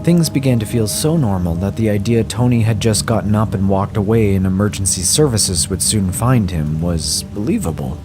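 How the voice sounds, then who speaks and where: A man reading a scripted first-person horror story in a measured, grave way. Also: lowish voice, animated. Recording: music bed under the voice.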